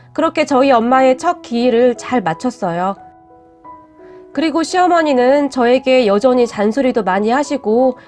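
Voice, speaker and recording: A woman host gently reading a viewer's personal story aloud in an earnest storytelling manner. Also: on the high side. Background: faint background music.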